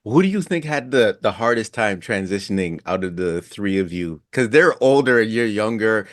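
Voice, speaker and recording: A man's voice: fairly low pitch, lively intonation, moderate pace, no echo, clean studio sound. A man hosting a casual podcast interview, asking questions in an informal, inquisitive way.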